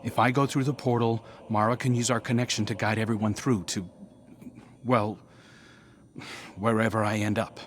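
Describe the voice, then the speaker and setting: A man playing an earnest, resolute warrior prince in a video game, with a measured, pleading delivery. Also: fairly low pitch, little pitch movement, slight background noise, lightly acted. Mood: earnest, determined. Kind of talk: scripted game dialogue.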